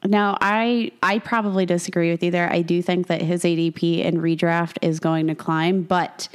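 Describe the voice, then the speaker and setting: A woman co-hosting a casual fantasy football podcast, her voice a little raspy from a cold, talking in a chatty, self-mocking way. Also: lowish voice, little pitch movement, normal speed. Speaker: podcast co-host.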